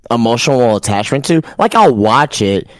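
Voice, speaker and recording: A man's voice: mid-range voice, lively intonation, moderate pace, no room sound. A man co-hosting a podcast, talking casually with lots of stammered restarts and fillers. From Texas. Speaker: podcast co-host.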